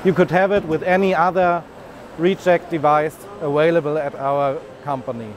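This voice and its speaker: A man's voice: on the high side, fairly even intonation, normal speed, crowd noise. A man in his thirties giving a walking product demonstration as a business development manager, explaining and pointing as he goes. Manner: explanatory, walks and points while talking. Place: large busy trade fair hall. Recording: noisy trade fair hall.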